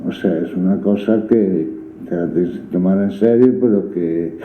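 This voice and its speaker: A man's voice: low-pitched, a little slow, a little hiss or hum. A man giving an informal, enthusiastic personal testimonial. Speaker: community radio participant. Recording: tinny, echoey playback.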